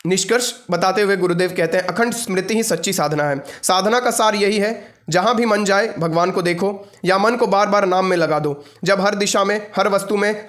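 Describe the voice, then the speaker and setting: A man's voice: high voice, a bit bright. A young adult man speaking as a spiritual teacher, giving an informal devotional discourse in an earnest, instructive manner with repeated phrases for emphasis. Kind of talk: devotional discourse, mixing reading with informal explanation.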